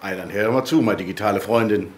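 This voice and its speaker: Man acting a talking mailbox, rural German dialect, low voice, folksy, resigned, rambling, radio play, flies buzzing behind. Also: quick pace, slight background noise, natural voice. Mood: teasing. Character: chatty rural mailbox, male, resigned, easygoing.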